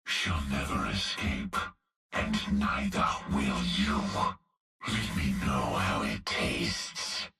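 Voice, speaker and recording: A hulking cyborg executioner with a cavernous, gravel-rough voice, drawing out each menacing threat at a slow crawl. The lines sound distant in a roomy echo, with faint music underneath. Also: male voice, rumbling low, animated, bright, forceful tone, full character voice. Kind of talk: game voice lines.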